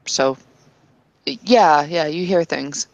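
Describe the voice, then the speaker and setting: A woman's voice: low voice, very slow, no room sound, highs cut off. A woman casually narrating her character in a tabletop roleplay, hesitant and full of fillers.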